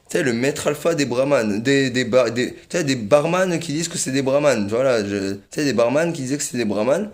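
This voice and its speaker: A man rambling in a casual monologue, repeating himself often, with many fillers and little chuckles. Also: mid-range voice.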